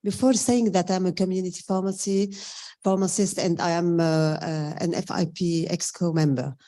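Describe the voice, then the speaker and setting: A woman's voice: lowish voice, fairly even intonation, normal speed, mellow. A woman with a Lebanese accent giving impromptu roundtable remarks as a pharmacist, speaking emphatically and posing questions she answers herself. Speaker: community pharmacist, roundtable participant.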